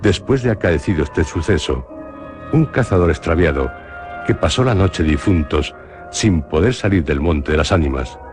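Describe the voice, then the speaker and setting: A man with a Spanish accent from Spain, giving a slow, solemn dramatic reading of a horror legend for radio. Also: sits low, lively intonation. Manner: slow, measured, solemn storytelling. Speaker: narrator. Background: music.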